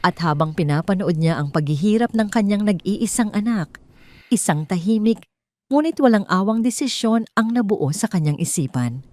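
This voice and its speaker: Woman, storyteller, lowish pitch, very mellow dark tone, scripted audiobook narration, measured dramatic phrasing.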